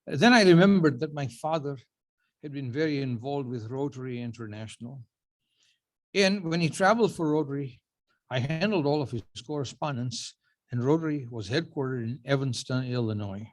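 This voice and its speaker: A man's voice: mid-range voice, lively intonation. Older man giving a wry acceptance speech. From Uganda. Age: 70s. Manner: dry self-deprecating humor, measured storytelling. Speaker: award honoree giving a speech.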